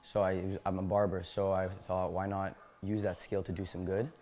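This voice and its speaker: A man's voice: low-pitched, level tone, normal speed, a little mellow. A sixteen-year-old young man giving casual interview answers. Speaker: part-time barber, interviewee.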